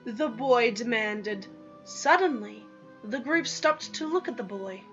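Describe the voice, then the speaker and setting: Young woman reading a story dramatically, highish bright voice, kinda muffled recording w/ music under it. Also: lively intonation, takes it slowly. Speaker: reader of own story. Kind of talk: dramatic first-person story narration. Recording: dull lo-fi recording with music behind.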